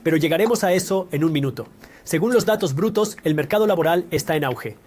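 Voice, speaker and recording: A man's voice: fairly high pitch, expressive, quick pace, darkish tone. A man hosting a scripted finance explainer, talking straight to the viewer and posing questions he then answers. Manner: direct, asks questions and answers them. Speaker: personal finance educator.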